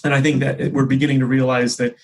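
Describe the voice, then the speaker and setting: A man talks through business points conversationally, in a measured way, often pausing mid-phrase to restate himself. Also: mid-range voice, little pitch movement. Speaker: company executive, interview guest. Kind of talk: conversational business discussion, explanatory.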